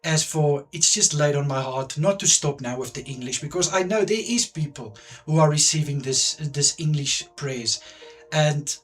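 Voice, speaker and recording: A man's voice: a little high, normal speed, soft background music. A man with an Afrikaans accent, whose first language is Afrikaans, leading a spoken prayer in an earnest, fervent way, swelling with emphasis on his petitions. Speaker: prayer leader.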